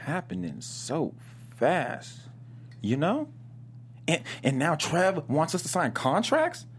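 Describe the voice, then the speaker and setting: A man playing an excitable, cocky twenty-year-old gamer in a table read, slangy and boastful. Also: a little high, slow pace, a little hiss or hum, exaggerated voice. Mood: worried, casual. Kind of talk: pilot script table read.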